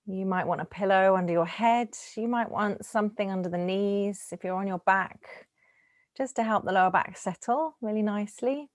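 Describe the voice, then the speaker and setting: A woman teaching yoga nidra, guiding relaxation in a gentle, soothing, unhurried manner with lingering pauses. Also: mid-range voice, dry recording. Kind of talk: guided relaxation meditation.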